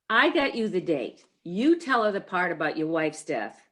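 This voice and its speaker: A woman in a comedy reading, playing a brisk, chirpy, reassuring friend who manages a matchmaking. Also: lowish voice, big pitch swings, a bit bright. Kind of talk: comedy readers theater.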